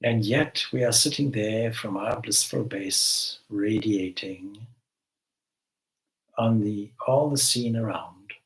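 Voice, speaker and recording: A man leading a guided meditation as a spiritual teacher, giving gentle instructions with long pauses between phrases. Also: fairly low pitch, low-quality recording.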